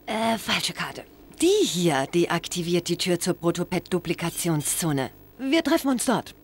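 A woman's voice: fairly low pitch, very animated, a little slow, slightly rough, full character voice. An actress playing a tough, sardonic young female inventor in a game, dry and confident. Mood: casual. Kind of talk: animated game cutscene acting.

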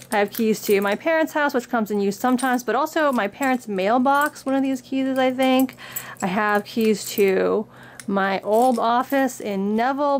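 Young woman, slightly high voice, recovering from a cold, casual show-and-tell, faint room hiss. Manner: reads book excerpts with upbeat lift, then chats plainly. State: getting over a cold. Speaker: craft vlogger. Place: indoor room against a plain backdrop.

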